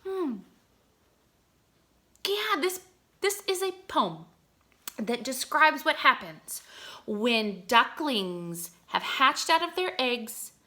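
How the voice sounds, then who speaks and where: A woman teaching through a read-aloud, with expressive delivery, explanatory asides and check-in questions. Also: fairly high pitch. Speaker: teacher reading poems aloud to children.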